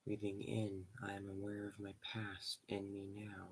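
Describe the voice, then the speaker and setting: A man leading a guided meditation in slow, measured short phrases with pauses between them. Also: lowish voice, completely flat delivery, a little hiss or hum, poor audio.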